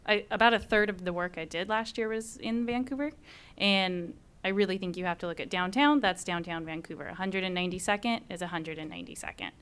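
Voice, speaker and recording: A woman's voice: fairly low pitch, fairly quick, fairly bright tone, clean tone. A woman appraiser speaking on a panel, giving direct explanations in answer to questions.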